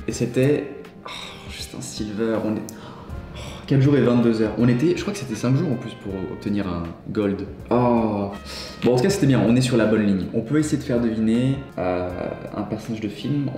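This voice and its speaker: A young man chats casually over a guessing game, reading each question out and answering it himself. His voice sits low and mellow. The room around him rings with echo, and faint music plays underneath. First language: French. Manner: reads the game's questions aloud and answers them himself. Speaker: YouTuber playing an online guessing game. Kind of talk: casual gameplay commentary.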